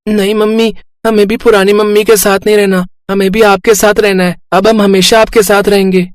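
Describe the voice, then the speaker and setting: A woman's voice: medium pitch, level tone, normal speed, no echo, studio quality, lightly acted. A woman voicing a small, clingy, pleading child in a family drama story.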